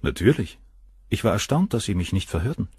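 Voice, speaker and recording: A man's voice: on the low side, sing-song, fairly quick, a little mellow, slightly rough, no room sound, clean studio sound, full character voice. An actor in a German crime radio play playing a cold, cynical middle-aged surgeon, detached and coolly superior as he answers questions. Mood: cool, composed.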